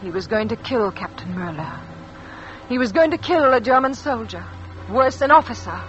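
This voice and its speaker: An actress playing a frightened, haunted French village wife who tells her story in a hushed, anguished radio-drama style. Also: on the high side, lively intonation, normal speed, a little hiss or hum, natural voice. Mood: grim, haunted. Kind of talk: 1940s-50s radio drama acting, confessional narration. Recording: dull old radio recording, music underneath.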